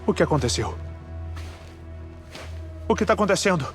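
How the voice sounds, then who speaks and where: A man with a Brazilian accent acting a grieving, eccentric scholarly scientist in a dubbed video game, telling things in a measured, reflective way. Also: high voice, expressive, soft background music. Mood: desperate anguish.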